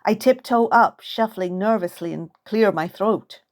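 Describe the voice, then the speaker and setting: A woman author narrating a first-person story in character as a child, with expressive, dramatic storytelling. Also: mid-range voice, unhurried. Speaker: author reading own novel. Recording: music under the voice.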